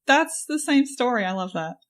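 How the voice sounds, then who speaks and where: A woman's voice: on the high side, big pitch swings, moderate pace, a bit bright. A woman hosting a podcast interview, enthusiastic and encouraging as she chats with her guest. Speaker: podcast host. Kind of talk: casual interview chat.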